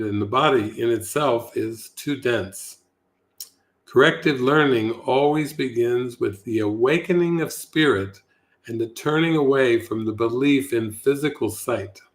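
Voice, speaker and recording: A man speaking as a spiritual teacher in a devotional setting, with a measured and earnest delivery. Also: medium pitch. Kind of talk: devotional reading and informal spiritual talk.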